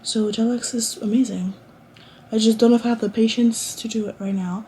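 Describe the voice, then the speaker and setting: A woman's voice: a little high, fairly even intonation, normal speed, mellow, a little hiss or hum. A woman in her late teens chatting casually to camera in a college dorm room, rambling with lots of restarts and fillers. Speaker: vlogger.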